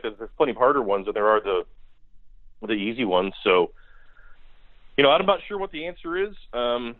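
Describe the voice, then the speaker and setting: A thin, phone-quality line carries an American man with a mid-pitched voice. He talks as a football analyst in an interview, blunt and critical, pressing his points by repeating them. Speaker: guest sports analyst. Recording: tinny phone-line sound.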